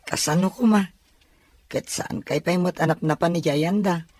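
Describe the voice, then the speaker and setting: An actor playing a grown child who gently reassures a worried mother in an Ilocano radio drama. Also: medium pitch, takes it slowly, dry recording. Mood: reluctant.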